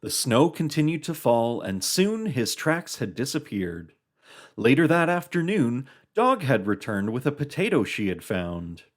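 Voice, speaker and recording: Animated children's story narrator. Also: mid-range voice, a little slow. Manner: expressive, storybook delivery.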